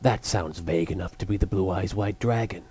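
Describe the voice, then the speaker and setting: A man voicing an arrogant, cold teenage billionaire rival in a cartoon parody, with smug, deadpan delivery. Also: deep voice, a little fast, breathy edge. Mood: smug, menacing. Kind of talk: anime parody dub.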